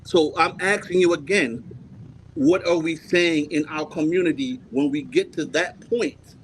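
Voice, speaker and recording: A man in his forties from Jamaica leads a heated panel debate as its host. His voice sits a little high for a man. He talks forcefully, keeps cutting in with "hold on" and repeats himself to hold the floor, over a faint hum of background noise. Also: unhurried, poor audio. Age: 40s.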